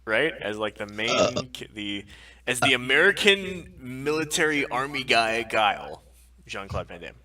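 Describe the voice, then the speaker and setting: A man's voice: mid-range voice, sing-song, a little slow, recorded from a distance, crowd noise. A man co-hosting a podcast stream, chatting casually about films and games. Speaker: podcast co-host. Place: live online stream.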